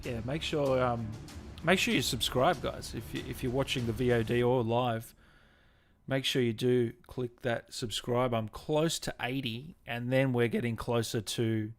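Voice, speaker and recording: A man's voice: medium pitch, steady tone, a little slow, faint music behind. A man in his late twenties hosting a gaming livestream, chatting informally with viewers and guests. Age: late 20s. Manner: informal, blokey, addresses viewers as guys. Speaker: host of a gaming livestream show. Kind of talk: casual livestream chat and interview.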